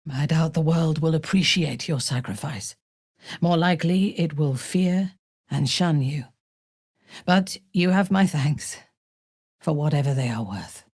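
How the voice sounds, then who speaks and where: A woman voicing a haughty, ruthless drow warrior in a fantasy video game, husky, measured and imperious. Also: low-pitched, darkish tone, no echo, studio quality. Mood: cold, stern.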